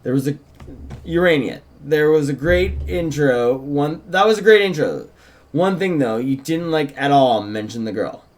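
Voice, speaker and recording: A man with a slightly high voice reads stage directions aloud. He narrates in an informal table-read style, as a writer sharing his own script. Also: normal speed.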